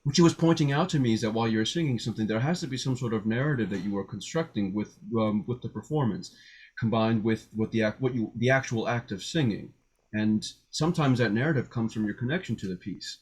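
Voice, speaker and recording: A man's voice: fairly low pitch, quick pace, a little mellow, breathy edge. A man telling an anecdote in casual group talk, earnest, wordy and explanatory as he goes. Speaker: voice student, podcast guest.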